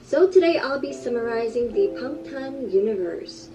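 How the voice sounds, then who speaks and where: A woman giving a scripted narration in an even, measured storytelling voice. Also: on the high side, background noise. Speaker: video narrator. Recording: muffled distant playback with music. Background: background music.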